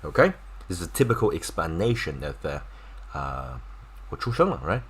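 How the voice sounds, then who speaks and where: A man's voice: rumbling low, very animated, moderate pace, breathy edge. A man from China with a Chinese accent, a native Chinese speaker, teaching an informal language lesson and repeating words to demonstrate pronunciation.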